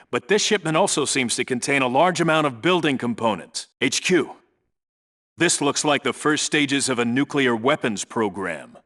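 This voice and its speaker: Navy SEAL commander for a military shooter game; bright, tense, authoritative, businesslike reports in a medium register. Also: male voice, medium pitch. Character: no-nonsense male Navy SEAL team leader. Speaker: video game voice actor. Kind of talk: military radio reports and squad orders.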